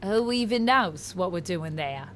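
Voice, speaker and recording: A woman streamer chatting casually in a put-on, wavering Australian accent, halting and repeating words as she tries out the sounds. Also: lowish voice, lively intonation, fairly bright tone, slight background noise. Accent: put-on Australian, slipping toward New Zealand and Irish. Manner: halting, self-conscious, trying out vowel sounds and repeating words. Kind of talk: casual livestream chat while practicing accents.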